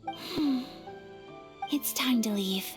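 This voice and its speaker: A polite young noblewoman with a high, girlish voice. She is formal, earnest and gentle in the manner of a fantasy game heroine, over faint music. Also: drawn-out words. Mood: wistful, heartfelt. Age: young adult. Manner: formal, courteous, softly sincere. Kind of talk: English video game dub acting.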